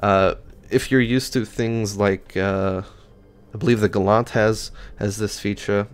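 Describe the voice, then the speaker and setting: A man with a fairly low voice talks through game stats in a loose, offhand way. He rambles, restarts his sentences and fills the gaps with ums and uhs. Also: steady tone, measured pace, clear, resonant tone. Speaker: gaming channel host. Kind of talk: casual gameplay stats walkthrough.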